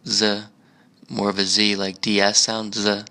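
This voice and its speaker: American man, low gravelly voice, flat delivery, rambling casual travel chat. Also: a little slow. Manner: meandering, chatty, frequent fillers and restarts. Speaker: travel vlogger. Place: museum park.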